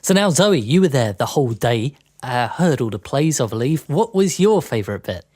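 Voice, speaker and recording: A man's voice: medium pitch, big pitch swings, normal speed, darkish tone. A man co-hosting a podcast in a scripted presenting style. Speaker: podcast co-host.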